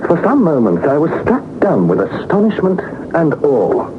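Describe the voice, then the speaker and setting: An earnest young British gentleman adventurer of a 1960s radio serial. His storytelling is literary and dramatic, with very lively swings of pitch. The sound is narrow, with heavy background noise from an old broadcast. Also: normal speed. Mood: astonished. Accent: British English. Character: Harry Lawson, earnest young Victorian adventurer recounting perilous journey. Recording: muffled, noisy 1960s radio recording.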